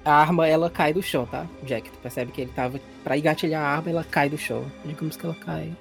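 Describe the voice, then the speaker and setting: A man's voice: on the high side, steady tone, quick pace, gravelly, faint music behind. A man with a Brazilian accent narrating a tabletop role-playing game as game master, colloquial and explanatory.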